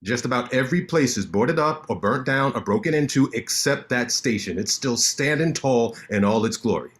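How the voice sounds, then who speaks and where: Guy reading as a cocky show-off lawyer, teasing and bragging, quick with the banter. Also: medium pitch, expressive, a bit bright, close to an everyday voice. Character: Tommy, a cocky sharp-tongued male lawyer. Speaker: actor in a staged reading. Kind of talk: staged play reading.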